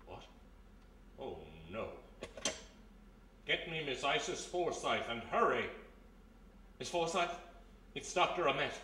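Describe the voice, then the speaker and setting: A man's voice: mid-range voice, bright, forceful tone. Man acting a jittery scholarly curator in an echoey hall. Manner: theatrical, muttering then exclaiming. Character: anxious scholarly male museum curator, alarmed and dramatic. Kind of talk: live old-time radio play acting. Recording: echoey live hall, distant mic, faint noise.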